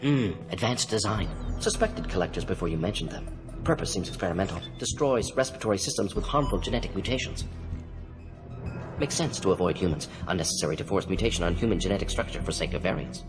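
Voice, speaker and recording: A man playing a brisk, brainy alien scientist-doctor in a video game, muttering through deductions in clipped fragments. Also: sits low, expressive. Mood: clinical. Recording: game audio, reverberant, music behind.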